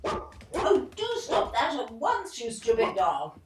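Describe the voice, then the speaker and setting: A woman's voice: a little high, wildly swooping pitch, slow, noisy background, heavily acted. A woman with a British accent playing a snobbish, self-absorbed older wife and mother in an audio play, genteel, fussy and disparaging. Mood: exasperated.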